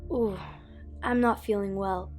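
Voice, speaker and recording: A woman's voice: mid-range voice, steady tone, measured pace, darkish tone, faint music behind, close to an everyday voice. A woman playing a queasy female kitchen worker in an audio drama. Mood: queasy, pleading.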